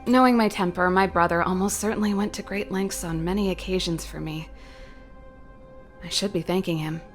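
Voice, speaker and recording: A woman's voice: lowish voice, fairly even intonation, normal speed, faint music behind, natural voice. A woman voice-acting a playful, candid and theatrical ex-soldier in a video game. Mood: reflective. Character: spirited, teasing woman ex-soldier with a showy streak.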